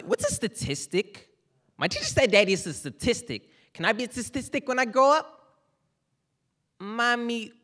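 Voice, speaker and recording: Spoken word: young man as a questioning child, animated and unhurried, voice raised a little. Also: high voice, voice pushed up, full character voice. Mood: searching. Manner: rising, searching questions. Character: anxious child questioning its mother. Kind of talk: spoken word poetry performance. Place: stage of a lecture hall with an audience. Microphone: mic on a stand.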